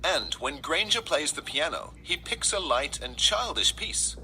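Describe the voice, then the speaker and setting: A man reading a first-person essay aloud, with emphatic, deliberate phrasing. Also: fairly high pitch, big pitch swings, pushed and bright, slight background noise, rough recording. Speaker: reader reading an article aloud.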